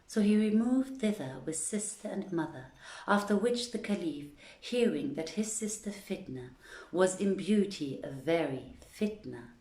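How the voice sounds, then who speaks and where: A woman's voice: fairly low pitch, animated, unhurried, a little mellow, echoey room. A woman hosting an online story reading, speaking to listeners and reading literary tales aloud. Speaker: reader of stories to an online audience.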